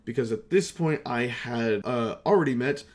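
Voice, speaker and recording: A young man rambling casually as a video creator, self-deprecating, excitable and quick to swear. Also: mid-range voice. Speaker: video creator, amateur voice actor. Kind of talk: casual rambling retrospective vlog.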